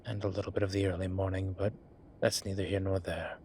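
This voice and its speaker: A man playing a polite, flirtatious incubus demon in an intimate roleplay audio drama, with hesitant, formal phrasing and a softness that turns breathy at times. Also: low-pitched, little pitch movement, quick pace, slight background noise. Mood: flirtatious, teasing. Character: courteous, flirtatious male incubus demon, restrained and apologetic.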